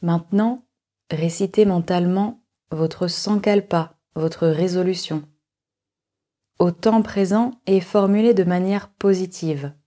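A woman leading a guided relaxation meditation as a yoga nidra instructor, giving calm, measured instructions with long pauses. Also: fairly low pitch.

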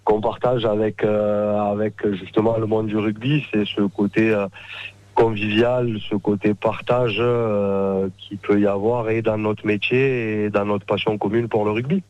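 A man's voice: fairly low pitch, flat delivery, a little slow, no room sound. Hesitant man, slightly dull radio sound. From Nîmes, southern France. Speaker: chef, radio guest. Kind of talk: casual unscripted interview.

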